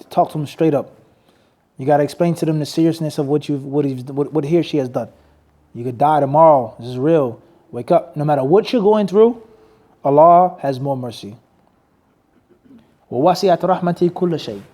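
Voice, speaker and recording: A man's voice: a little high, mellow. A man teaching in a mosque, preaching emphatically and asking the crowd rhetorical questions. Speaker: Islamic teacher. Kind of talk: lecture with Q&A.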